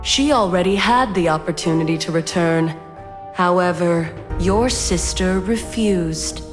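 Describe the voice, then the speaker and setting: A woman voicing a haughty, cold ancient female spirit who judges heirs in a game, measured and condescending, with a long reverb. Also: lowish voice, natural voice. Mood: solemn, cold. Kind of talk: dramatic game voice acting. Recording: long cavernous reverb, music behind.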